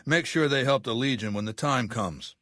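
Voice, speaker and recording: A man's voice: medium pitch, moderate pace, sharp tone, no room sound, studio quality, close to an everyday voice. An older man playing an imperious, ruthless warlord in a video game, speaking with cold, commanding, formal diction. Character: imperious, ruthless ageing male warlord commanding a slaver legion. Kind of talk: video game character acting.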